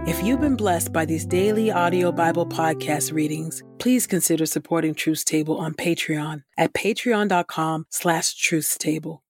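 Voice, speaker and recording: A woman host reading Scripture aloud in a scripted devotional style, with measured, clearly phrased delivery. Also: sits low, music in the background. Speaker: podcast host and Scripture reader.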